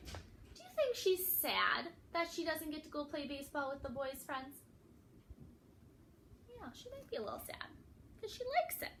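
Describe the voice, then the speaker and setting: Animated, high-pitched woman reading to children. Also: normal speed, long pauses, a little hiss or hum. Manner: animated, addresses listeners with questions, dramatic emphasis. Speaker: children's read-aloud storyteller. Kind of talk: expressive picture-book read-aloud with asides to young listeners.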